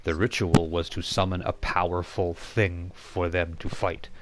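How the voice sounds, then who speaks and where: A man running a tabletop game as game master, narrating off the cuff and explaining in a halting, self-correcting way. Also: low voice, level tone, heavy background noise.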